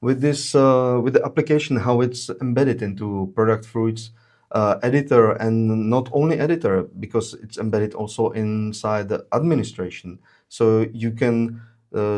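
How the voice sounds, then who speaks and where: A man's voice: mid-range voice, a little mellow. Male webinar presenter, methodical, filler-heavy. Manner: frequent "uh" fillers, measured explanatory delivery. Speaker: webinar presenter, solution engineer. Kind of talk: explaining product features in a webinar.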